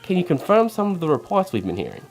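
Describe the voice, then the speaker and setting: A man playing a theatrical, excitable 1940s radio newscaster with a formal, melodramatic, breathless delivery. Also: high-pitched, quick pace, heavily acted. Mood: formal, concerned. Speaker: radio news announcer.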